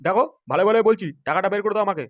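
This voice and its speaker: A man's voice: high voice, very fast, muffled recording. A man voicing a suspicious, crude husband in a comic cartoon, grumbling and accusing on a noisy recording. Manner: accusing, swearing.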